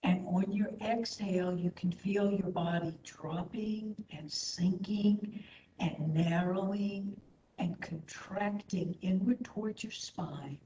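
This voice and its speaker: Slow, mellow woman guiding meditation. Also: medium pitch, slight background noise. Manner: soothing, unhurried, gentle. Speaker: meditation and yoga instructor. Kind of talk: guided meditation. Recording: echoey room, slightly dull sound.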